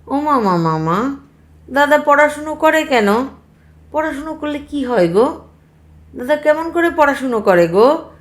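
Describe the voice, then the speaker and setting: A woman's voice: high voice, very animated, unhurried, pauses often, pitched above the performer's own voice. A woman voicing a sweet, mischievous little boy of three or four in a children's story, pleading and asking endless questions. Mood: eager, curious.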